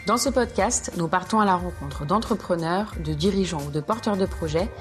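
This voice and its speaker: Lowish female podcast intro over music. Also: normal speed. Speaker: podcast co-host. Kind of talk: scripted podcast intro narration.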